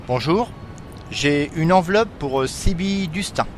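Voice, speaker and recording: A man's voice: a little high, lively intonation, measured pace. A man playing a polite postman in an audio drama. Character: polite male postman delivering a letter. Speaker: actor in an amateur audio fiction. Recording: faint outdoor street noise behind.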